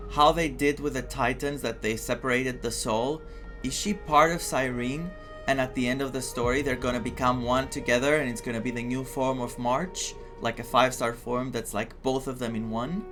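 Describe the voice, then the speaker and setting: A young man from Greece comments casually on a game he is streaming. He rambles and thinks aloud in a mid-pitched voice, with clear music playing behind. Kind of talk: casual playthrough commentary, reading dialogue aloud.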